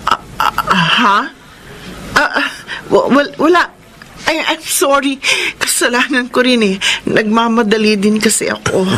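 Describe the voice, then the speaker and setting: A woman's voice: a little high, unhurried, a little hiss or hum. An actress playing a devoted, playful young woman who gets easily flustered, in a Tagalog love story drama. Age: young adult. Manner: expressive, with teasing and hesitant stammers.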